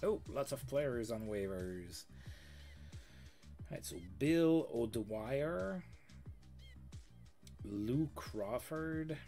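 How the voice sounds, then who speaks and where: A man's voice: mid-range voice, expressive, extremely slow, long pauses, warm tone, faint music behind. A man streaming a sports management game, reading out game news with casual asides. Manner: chatty, reads game news aloud with asides. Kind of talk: casual let's-play commentary.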